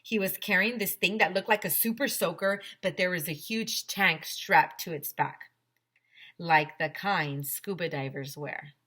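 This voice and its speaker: Woman, teacher, bright forceful tone, slightly low pitch, expressive storybook read-aloud. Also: a little slow. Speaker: teacher reading aloud to pupils.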